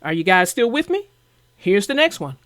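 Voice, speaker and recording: A woman's voice: mid-range voice, sing-song, moderate pace, fairly bright tone, no room sound, studio quality. A woman host who narrates children's books, chatting casually and expressively. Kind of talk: casual chat about a children's book.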